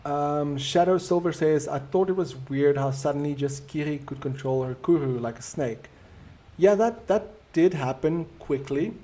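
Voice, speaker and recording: A man's voice: fairly high pitch, moderate pace, dark tone. South African man hosting a live chat. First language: Afrikaans. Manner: reflective, easygoing and conversational. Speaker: live stream host. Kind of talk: casual live stream chat reading viewer comments.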